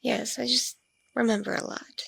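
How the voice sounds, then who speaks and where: A woman playing a lonely, coy vampire mother in an ASMR roleplay, musing slowly in a husky, breathy, creaky voice with soft hums. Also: a little high, fairly even intonation, no echo, full character voice. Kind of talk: ASMR audio roleplay, intimate one-sided conversation.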